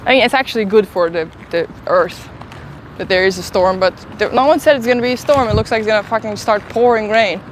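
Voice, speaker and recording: A woman's voice: medium pitch, quick pace. Chatty woman streaming from a noisy street. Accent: slight Swedish accent. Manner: chatty, self-deprecating, talks to chat while eating. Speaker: travel streamer. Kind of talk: casual live-stream chat. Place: outdoors on a city street. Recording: outdoor phone stream with street noise. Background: city traffic and street noise.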